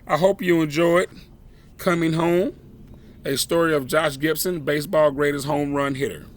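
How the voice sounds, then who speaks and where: A man reading a children's picture book aloud as a storyteller, with dramatic, deliberate phrasing. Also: on the high side, steady tone.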